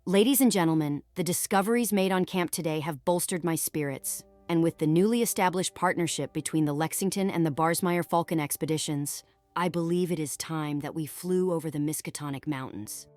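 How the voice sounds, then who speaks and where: A woman acts a smug, confident female expedition leader in an audio drama. Her voice is low and formal, with a dry sound and faint music underneath. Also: a little fast, a little mellow, dry recording, lightly acted. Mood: smug confidence. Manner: formal, poised, declamatory.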